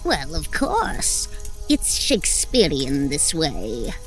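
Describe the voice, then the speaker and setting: A woman plays the solemn, long-suffering leader of a witches' coven. She speaks very slowly and portentously, with an expressive melody, over faint music in a horror-comedy audio drama. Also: deep voice, full character voice, poor audio. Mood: strained patience. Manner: portentous, prim, deliberate.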